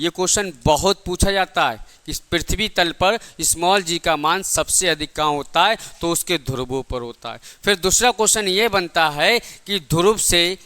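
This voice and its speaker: A man's voice: sits high, sharp tone, clear, resonant tone. A man teaching a lecture in a didactic way, repeating points and asking rhetorical questions. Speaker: physics teacher for exam coaching. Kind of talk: classroom lecture.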